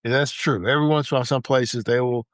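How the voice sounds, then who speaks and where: A man's voice: medium pitch, fairly even intonation, quick pace, no echo. An American man co-hosting a podcast in a casual, chatty style. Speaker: podcast co-host. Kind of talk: casual chat.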